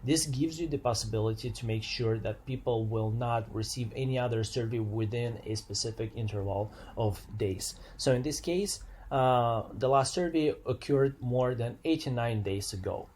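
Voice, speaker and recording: Man giving a step-by-step software tutorial. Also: mid-range voice, moderate pace, few pauses, slight background noise. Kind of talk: unscripted screen-share walkthrough, explanatory.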